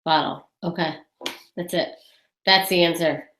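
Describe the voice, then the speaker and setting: A woman with a low, congested voice talks through a webinar Q&A in an echoey room. She chats loosely and thinks aloud between viewer questions. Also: steady tone, a little slow, fairly bright tone. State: congested with a head cold. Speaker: webinar host. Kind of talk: casual Q&A on a webinar.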